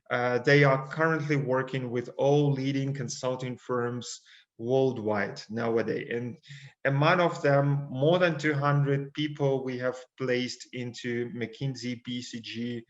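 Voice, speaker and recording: Ukrainian-born male webinar host in his 30s, mid-pitched voice, slightly muffled call audio, filler-heavy delivery. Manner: fillers, restarts, urges viewers to use the chat. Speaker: consulting coach, webinar host. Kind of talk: live webinar presentation.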